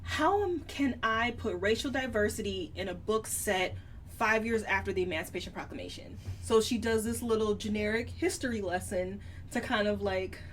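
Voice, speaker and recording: A woman's voice: mid-range voice, moderate pace. A woman co-hosting a book podcast, talking through her doubts in an informal, skeptical way. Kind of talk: casual book discussion. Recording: distant mic, faint background noise.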